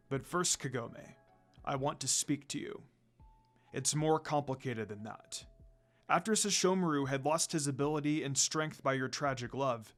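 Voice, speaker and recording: A man plays a fatherly dog demon spirit from a fantasy story. The voice drops low and stays calm, measured and solemn, with kindly gentleness. Music plays underneath. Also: lightly acted.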